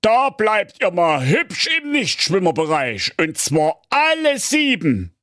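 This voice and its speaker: A man voicing a bossy, menacing big bad wolf disguised as a lifeguard, in a drawn-out, mock-stern storybook delivery. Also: high voice, wildly swooping pitch, fairly bright tone, no room sound, clean studio sound, pitched above the performer's own voice, exaggerated voice.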